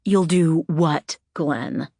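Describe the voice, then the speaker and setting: Thriller audiobook role: combative working woman voiced by a woman, gravelly, low-set, refusing to back down. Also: extremely slow, mellow, dry recording, studio quality, exaggerated voice. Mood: defiant. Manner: blunt, combative, profane. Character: defiant, tough female bar worker.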